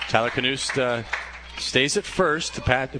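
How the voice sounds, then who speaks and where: A man doing radio play-by-play for a baseball game, calling pitches in a steady flow that lifts when a play unfolds. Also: measured pace, crowd noise. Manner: steady calls, rising excitement on plays. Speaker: play-by-play announcer.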